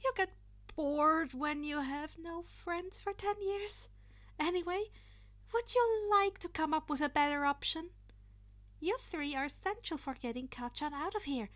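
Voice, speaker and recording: A cheeky teenage boy, put on by a woman reading fan fiction, sly and confident. The voice is high and unhurried, with an unbothered air. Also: a bit bright. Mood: breezy, matter-of-fact.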